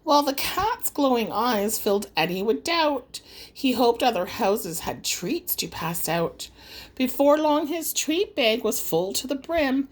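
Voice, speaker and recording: Woman narrator, high-pitched, very expressive, slow pace, singsong rhyming children's audiobook, dramatic storytelling. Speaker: audiobook reader.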